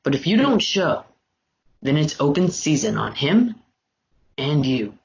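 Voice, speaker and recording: A man's voice: fairly high pitch, very animated, unhurried, gravelly, heavily acted. A man playing a menacing, contemptuous karate sensei in a table read of a film scene, with a hard, clipped delivery. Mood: threatening. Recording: dull, noisy home call audio.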